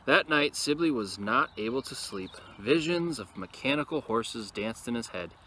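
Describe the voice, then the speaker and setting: A man reading a picture book aloud expressively to young listeners, with casual, quieter asides, recorded outdoors. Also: medium pitch, expressive, moderate pace. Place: outdoors in an open field. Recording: noisy outdoor recording with ambient sound.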